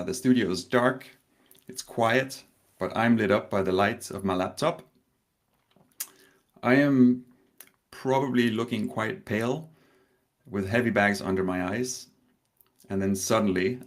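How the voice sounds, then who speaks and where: A man from Denmark, in his thirties, giving a live online conference talk, telling a story slowly with pauses and a touch of nerves. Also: lowish voice. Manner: warm storytelling with pauses, slightly nervous. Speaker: conference speaker.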